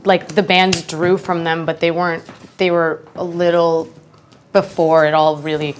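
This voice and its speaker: Woman guest co-host, lowish voice, hesitant, lots of fillers, faint hiss. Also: flat delivery, a little slow. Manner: hesitant, many "um" and "like". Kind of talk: casual chat.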